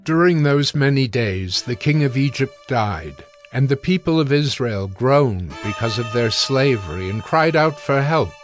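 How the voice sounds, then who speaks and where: A man narrates scripture in a scripted audiobook style. His voice is medium in pitch, with soft music underneath. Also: animated, unhurried, few pauses.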